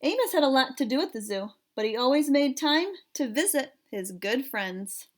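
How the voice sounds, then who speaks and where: A woman reading a picture book aloud for children in an expressive, measured storytelling style. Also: high voice.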